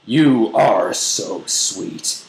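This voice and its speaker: A man voicing a brooding, commanding businessman lover from a romance novel in a slow, breathy murmur. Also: lowish voice, lively intonation, heavily acted. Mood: seductive, commanding. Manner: slow, staccato, growling. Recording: roomy echo, faint noise.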